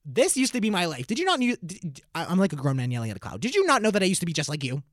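A man's voice: high-pitched, racing, no room sound, studio quality. Theatrical young man hosting a podcast. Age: 19, nearly 20. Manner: animated and theatrical, with sudden loud bits of play-acting. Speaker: podcast host. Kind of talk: solo podcast monologue.

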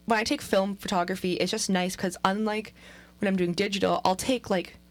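A young woman co-hosting a podcast, chatting casually and telling stories with lively repeats. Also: mid-range voice, brisk. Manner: animated storyteller, repeats words. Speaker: podcast co-host. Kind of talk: casual chat.

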